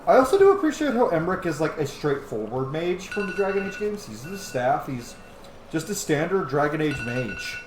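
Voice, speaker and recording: Animated man chatting through gameplay. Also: on the high side, moderate pace, roomy sound, background noise. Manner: chatty, casually sweary, enthusiastic. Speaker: host of a gameplay series. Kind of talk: casual gameplay commentary.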